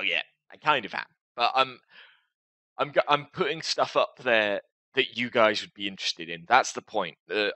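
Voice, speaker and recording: A man's voice: lowish voice, sharp tone, no room sound. A man talking casually and hesitantly to his viewers as a gaming video host, with a self-deprecating, rambling manner.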